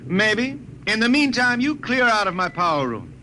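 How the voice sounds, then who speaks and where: A man's voice: sits high, big pitch swings, normal speed, bright, forceful tone, exaggerated voice. An American actor playing a defiant, folksy spaceship jetman in a drawling, insolent 1950s radio drama style. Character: defiant, folksy spaceship jetman who writes bawdy songs. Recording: noisy vintage 1950 radio broadcast.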